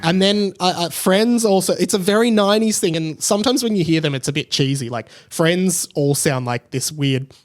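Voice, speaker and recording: A man's voice: sits high, normal speed, few pauses, faint music behind. A man hosts a solo podcast. He talks in a casual, rambling, conversational style, as if thinking aloud. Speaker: podcast host. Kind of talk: casual solo podcast chat about TV shows.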